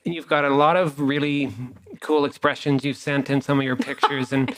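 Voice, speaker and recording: A man's voice: mid-range voice, normal speed, poor audio. Male interview host, casual and encouraging. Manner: relaxed and encouraging, with short affirmations.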